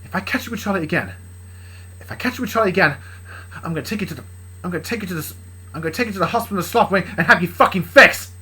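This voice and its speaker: A woman's voice: fairly low pitch, expressive, rapid-fire, pushed and bright, husky, exaggerated voice, low-quality recording. A woman voicing an angry, controlling father in a story, sputtering, threatening and repeating himself.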